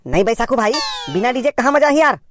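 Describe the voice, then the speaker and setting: A woman's voice: on the high side, lively intonation, fast, slight background noise, lightly acted. A woman voicing an eager, chummy young village man with a Chhattisgarhi accent in a cartoon comedy. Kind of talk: amateur cartoon comedy dialogue.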